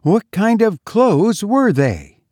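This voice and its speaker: A man voicing a peremptory, indignant mistress of the house in a storybook reading, with a sharp, impatient, demanding manner. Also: high-pitched, very animated, slow, dry recording, studio quality, voice pushed up, full character voice.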